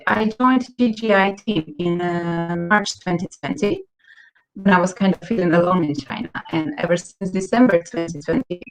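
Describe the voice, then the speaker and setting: A woman's voice: fairly low pitch, gravelly, rough recording. Woman on a video call. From Croatia. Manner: halting, repeats words while searching. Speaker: community manager, panel guest. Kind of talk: online panel talk.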